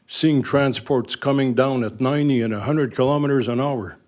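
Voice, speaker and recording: A man's voice: medium pitch, moderate pace, darkish tone. An older man with a French Canadian accent, French his first language, speaking earnestly and bluntly as a resident addressing a town council. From Northern Ontario, Canada. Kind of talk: public comment at a council meeting.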